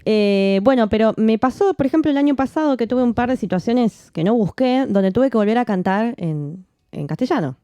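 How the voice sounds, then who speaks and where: Dry-miked woman, mellow voice. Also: fairly high pitch, lively intonation, moderate pace, clear, resonant tone, dry recording. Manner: reflective, many restarts and "¿no?" tags. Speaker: podcast co-host, jazz singer. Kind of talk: casual chat.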